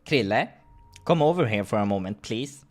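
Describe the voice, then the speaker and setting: Scholarly male game adventurer, voiced by a man, serious and deliberate, with wide emphatic pitch swings. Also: lively intonation, close to an everyday voice. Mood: intent, explaining.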